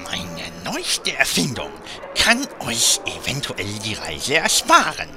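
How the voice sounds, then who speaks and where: A man playing a shifty, cowardly goblin inventor in a fantasy parody radio play, halting and drawn-out, with sly chuckles. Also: mid-range voice, huge pitch swings, music in the background, heavily acted. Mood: sly, ingratiating.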